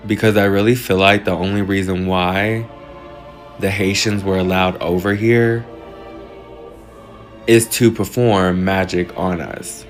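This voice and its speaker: A man's voice: low-pitched, fairly even intonation, a little slow, pauses often, soft background music. A man speaking in a musing, conspiratorial way in a casual monologue. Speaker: social media commentator.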